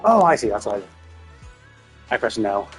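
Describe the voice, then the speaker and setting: A man's voice: fairly low pitch, very animated, a little slow, fairly bright tone, soft background music. A man casually commentating on a game livestream, rambling and thinking aloud as he goes. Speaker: live streamer.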